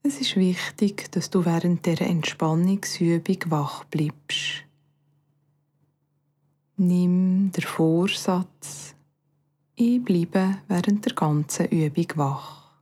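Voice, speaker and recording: A woman with a Bernese Swiss German accent guides a meditation as a yoga nidra instructor, calm and soothing, with long pauses and softly breathy phrase endings. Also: fairly low pitch.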